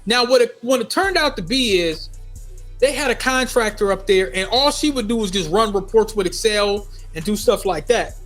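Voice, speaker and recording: A middle-aged man with a notably high voice tells a personal story. He talks casually and expressively, circling back on phrases to stress them. Faint music plays behind him. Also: normal speed. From United States, Minnesota. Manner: animated retelling with acted-out quotes, repeating phrases for emphasis. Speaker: storyteller vlogger.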